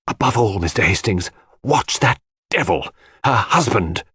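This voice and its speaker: A man's voice: fairly low pitch, expressive, measured pace, bright, forceful tone, slightly rough, no echo, full character voice. A man voicing a blunt, fiercely loyal older woman in an audiobook, giving urgent warnings in short, emphatic phrases. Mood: insistent warning. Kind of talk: audiobook character dialogue.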